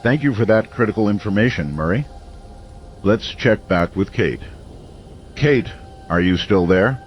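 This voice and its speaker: An actor playing a community radio host during an emergency in an audio drama, measured, earnest and reassuring. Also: male voice, fairly low pitch, animated, faint music behind. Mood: concerned, composed. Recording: dull lo-fi radio sound, faint noise.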